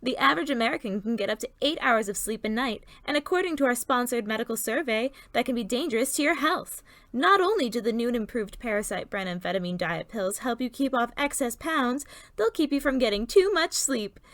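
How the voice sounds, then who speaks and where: A cheery sponsor pitchwoman, played by a woman in a parody old-time radio advertisement. Her fairly high voice delivers a scripted sales spiel in a dry, close recording. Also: animated, fairly quick, a bit bright, dry recording. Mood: upbeat, salesy.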